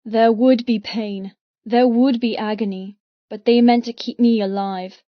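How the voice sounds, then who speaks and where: A woman's voice: a little high, steady tone, a little slow, no echo, lo-fi sound. Woman narrating a dramatic audiobook, close and dry. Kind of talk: dramatic first-person scripted narration.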